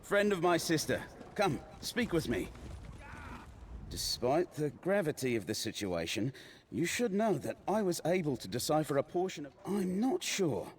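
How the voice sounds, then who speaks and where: A man's voice: fairly high pitch, very animated, moderate pace, slight background noise. A man voicing a genial imperial scribe and former adventurer in a fantasy video game, conversational and slightly formal. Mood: friendly, thoughtful.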